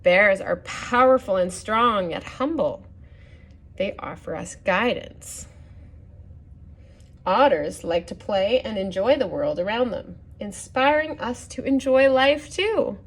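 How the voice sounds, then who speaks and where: Female story time reader for young children, medium pitch, gently teaching as she reads and chats to listeners. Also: lively intonation, takes it slowly. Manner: gently instructive, puts questions to the listeners. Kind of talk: reading a picture book aloud with asides to children.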